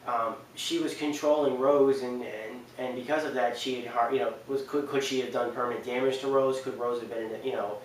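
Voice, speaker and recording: A man in his twenties giving casual, halting commentary to camera, often backtracking as he goes. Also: mid-range voice. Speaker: video host. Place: wood-walled room indoors, on a couch. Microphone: camera mic across the room. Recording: very echoey room, distant mic, faint noise.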